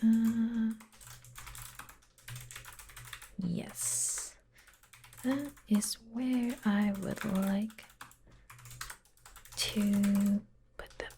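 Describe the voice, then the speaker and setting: A woman playing a caring, teasing secretary in an ASMR roleplay, speaking softly and gently, often dropping to a whisper. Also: medium pitch, steady tone, a little hiss or hum. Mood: helpful, soft. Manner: slow, gentle, playful, intimate. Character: caring, teasing, devoted young female secretary fussing over her boss. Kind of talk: soft-spoken ASMR roleplay.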